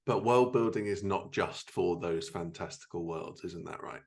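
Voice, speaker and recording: A man's voice: sits low, moderate pace, gravelly. A man hosting a casual interview, chatting and asking questions in a playful, jokey way. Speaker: podcast host interviewer.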